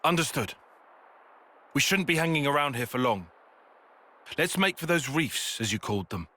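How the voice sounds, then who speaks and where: A man's voice: a little high, lively intonation, moderate pace, pushed and bright. A man voicing a composed, analytical young tactician in a game, with precise, formal explanations. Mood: brisk. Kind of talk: video game cutscene acting.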